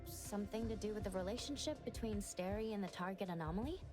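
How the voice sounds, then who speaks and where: A woman's voice: medium pitch, quick pace, over music, lightly acted. A woman playing a young, observant female crew member in a video game, polite and inquisitive. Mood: curious.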